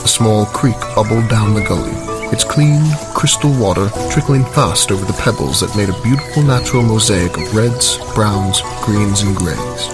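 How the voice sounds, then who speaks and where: A man's voice: fairly low pitch, moderate pace, hardly any pauses. A man narrating a scripted fantasy story for an audio drama in a storyteller's style. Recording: loud music behind the voice.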